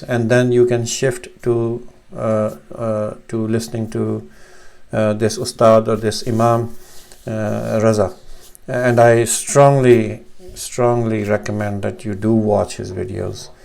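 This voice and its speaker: A man's voice: mid-range voice, creaky, a little mellow. A man in his thirties from Pakistan, a native Urdu speaker, teaching Arabic letters over a screen share with many fillers and restarts. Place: small room at home, indoors.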